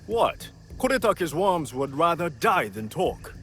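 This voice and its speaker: A proud disowned warrior man in a game cutscene. He speaks slowly and impassioned, with very animated pitch. He broods theatrically over clear background music. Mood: defiant. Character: proud, bitter, defiant disowned warrior monk's son. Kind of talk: dramatic video game cutscene acting.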